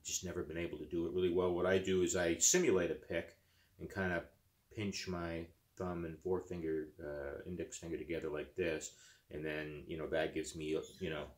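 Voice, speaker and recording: A man's voice: sits low, flat delivery, brisk. A man in his fifties, seated on a living-room couch, talks casually about his guitar while demonstrating it, rambling with fillers and restarts. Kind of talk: casual demo talk. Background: acoustic guitar playing.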